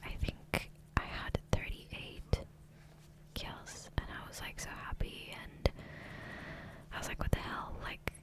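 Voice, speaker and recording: Woman, soft breathy whisper, slow pace, gentle rambling chat, ASMR gaming creator, close mic. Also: poor audio. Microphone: close USB condenser microphone.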